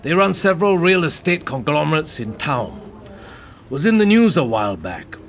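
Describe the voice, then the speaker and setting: A man's voice: a little high, very animated, normal speed. An actor playing a jaded, sardonic middle-aged male senior detective in an audio drama, curt and dry. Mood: weary, businesslike.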